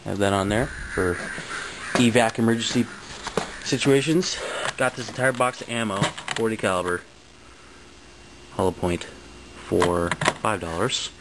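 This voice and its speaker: A man's voice: lowish voice, a little slow, long pauses. A man chatting casually in a rambling, slangy show-and-tell, recorded on a noisy amateur home video. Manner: slangy, laid-back phrasing. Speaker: vlogger showing his finds.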